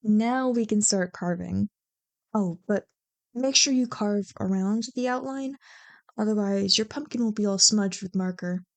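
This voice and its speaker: A woman's voice: mid-range voice, unhurried, dry recording, close to an everyday voice. A woman acting a playful, teasing young childhood friend in a casual, joking ASMR roleplay. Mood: chatty, explaining. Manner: bubbly, joking, playfully bantering. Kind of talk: ASMR roleplay, one-sided casual conversation.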